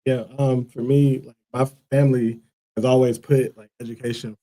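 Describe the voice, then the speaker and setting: A man's voice: mid-range voice, level tone, moderate pace, mellow. Talk show guest: a man from Liberia who speaks earnestly and thoughtfully, using plenty of "like" and "um". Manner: earnest, thoughtful, many fillers like "like" and "um". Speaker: guest, school co-founder. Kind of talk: casual interview answers.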